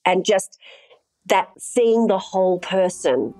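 A middle-aged woman speaking as an intimacy coordinator in an interview. She explains things thoughtfully and at length, with frequent fillers, in a voice of ordinary pitch. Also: animated, takes it slowly, mellow, faint music behind, rough recording. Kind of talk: interview answers.

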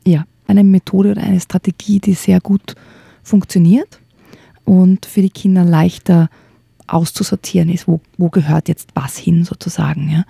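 A woman co-hosting a radio podcast, explaining things in a calm, measured way. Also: on the low side, creaky, slightly rough, no room sound, clean studio sound. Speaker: podcast co-host.

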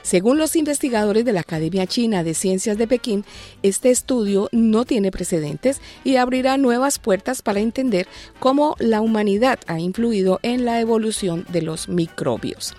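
A woman's voice: fairly high pitch, expressive, normal speed, mellow, soft background music. A woman hosting a light news segment, reading scripted stories with playful, joking asides. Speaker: news show host. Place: lives in Australia.

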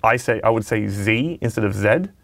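Low, gravelly-voiced man chatting. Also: animated, normal speed, no echo. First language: Mandarin. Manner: chatty, swearing, many fillers and restarts. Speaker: podcast co-host. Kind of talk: casual chat.

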